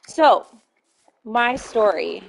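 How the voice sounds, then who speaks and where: Slightly hoarse woman rambling through a story. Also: on the high side, expressive, very slow, rough recording. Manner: rambling, self-correcting, laughs often. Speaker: streamer. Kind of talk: casual storytelling while gaming.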